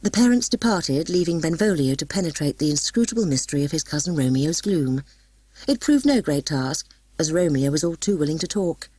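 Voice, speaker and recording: Low, husky woman narrating a story. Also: a little fast, dry recording. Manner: dramatic, expressive storytelling. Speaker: audiobook narrator.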